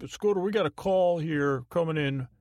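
A man acts out a podcast sidekick in a comic bedtime skit, pitched up from his own talk. The crew member is questioning and probing, in a dry, close sound. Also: normal speed, darkish tone, no echo, natural voice. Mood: puzzled.

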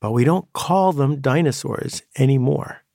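A man hosting his own podcast, talking through an idea in a measured, musing way with pauses for emphasis. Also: mid-range voice, expressive, vocal fry, dark tone, dry recording, studio quality. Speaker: podcast host. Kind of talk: reflective monologue.

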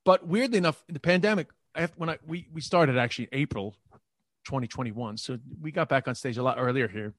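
A man's voice: medium pitch, fast talker, fairly bright tone, dry recording, studio quality. A man with a New York accent, a comedian talking casually in an interview and often restarting his sentences. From New York, Queens. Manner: rambling, repeats words and restarts. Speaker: comedian guest.

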